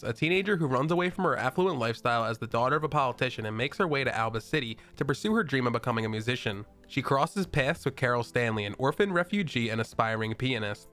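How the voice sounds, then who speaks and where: A man hosting an interview, reading scripted background facts briskly and asking questions. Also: mid-range voice, a bit bright. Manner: brisk, reads facts and lists of titles. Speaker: interview host.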